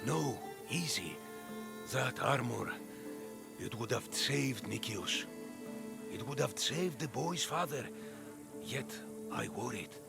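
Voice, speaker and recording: Brief: gravelly, world-weary armor collector, clipped and bitter, with a lively pitch and a game score behind. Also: male voice, takes it slowly, long pauses, over loud music, exaggerated voice. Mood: brooding. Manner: terse, bitter, clipped sentences. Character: gruff middle-aged male armor collector, guilt-haunted. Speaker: game character. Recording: orchestral game score underneath.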